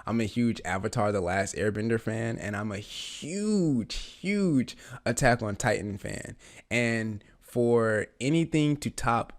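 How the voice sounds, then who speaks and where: Lowish raspy guy getting over the flu, rambling fan reaction, lots of ums. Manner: rambling, many fillers and restarts, eager fan. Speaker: reaction channel host. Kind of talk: casual reaction commentary.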